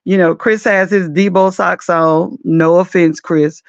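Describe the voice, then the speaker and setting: A woman with a raspy, deep morning voice, chatting loosely about reality TV as the host of a commentary show. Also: fairly even intonation, measured pace, dry recording, highs cut off. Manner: chatty, loose, with many fillers and asides.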